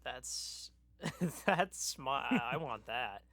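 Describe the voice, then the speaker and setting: Male co-host, bright forward voice, mid pitch, agreeable and loosely hesitant, dry recording. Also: expressive, drawn-out words, dry recording. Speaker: podcast co-host. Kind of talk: casual chat.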